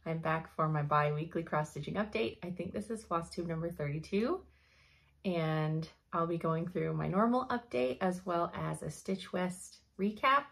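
A young woman giving a chatty cross-stitch update from her home craft room, her voice slightly hoarse, laughing often as she thinks aloud. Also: on the low side, moderate pace, fairly bright tone. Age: young adult. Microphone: camera in front at desk.

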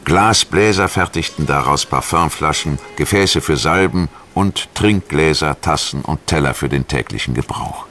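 A man reading a scripted history documentary narration in a measured, articulate storytelling manner. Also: a bass voice, bright, forceful tone. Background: music.

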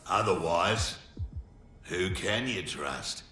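A man playing a brash criminal gang boss in a video game, rough and persuasive. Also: low voice, lively intonation, slow pace, background noise. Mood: persuasive, confident. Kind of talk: video game cutscene acting. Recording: echoing chamber with music.

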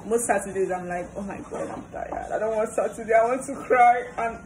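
A woman's voice: fairly high pitch, brisk. A young woman with a Nigerian accent chatting casually to a phone in a kitchen as a cooking vlogger. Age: young adult. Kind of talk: casual vlog chat. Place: small kitchen at home, indoors. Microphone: phone at arm's length. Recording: noisy room ambience.

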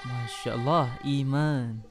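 A young man, hosting a casual video chat on a boom-arm studio mic. He asks quick, friendly questions and mixes in Arabic phrases. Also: steady tone, soft background music. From Indonesia. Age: 20s. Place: small indoor room. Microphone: studio mic on boom arm, headphones.

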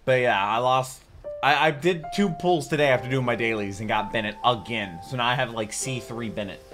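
A man's voice: mid-range voice, a little fast. A man, giving chatty, informal reaction commentary. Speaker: reaction video host. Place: small home room, indoors. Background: faint music.

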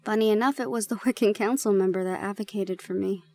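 A woman playing a shy, gentle young gorgon in an intimate audio roleplay, speaking softly and haltingly. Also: mid-range voice, steady tone, lightly acted. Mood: reflective, confiding. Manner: soft, hesitant, halting.

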